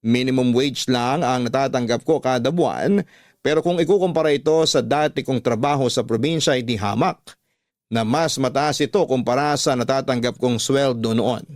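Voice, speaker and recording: A man's voice: mid-range voice, normal speed, no room sound. Dry, close-miked Filipino male storyteller. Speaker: storytelling host reading a letter sender's story. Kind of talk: scripted dramatic narration in first person.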